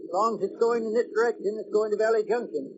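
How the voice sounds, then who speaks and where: An actor playing a plainspoken rural bus driver with folksy country phrasing, in 1940s radio style. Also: male voice, sits high, fast, close to an everyday voice. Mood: chatty, good-humored. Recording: muffled, very noisy old radio recording.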